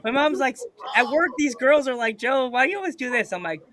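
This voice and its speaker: Excitable podcast host guy, bright high voice, repeats himself a lot, chatting casually. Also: quick pace. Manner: excitable, repetitive, says dude constantly.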